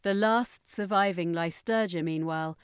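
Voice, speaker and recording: A woman with a fairly low voice tells a fantasy story as scripted narration. The sound is dry, with very little room around it. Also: moderate pace, clear, resonant tone, no room sound.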